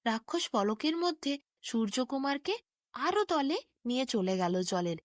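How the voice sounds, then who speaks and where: Female fairy-tale narrator: higher-pitched voice, very lively melody, scripted bedtime storytelling. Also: unhurried, no room sound, clean studio sound.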